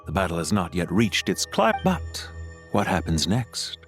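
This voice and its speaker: An actor playing a suave, scheming villain mastermind in a video game, polite, smug and theatrical. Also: male voice, deep voice, sing-song, a little slow, faint music behind. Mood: menacing.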